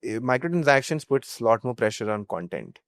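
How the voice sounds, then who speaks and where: A man's voice: medium pitch, sing-song, brisk, no room sound, clean studio sound. A young man with an Indian accent answering as a startup founder in an interview, explaining through hypothetical examples. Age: young adult.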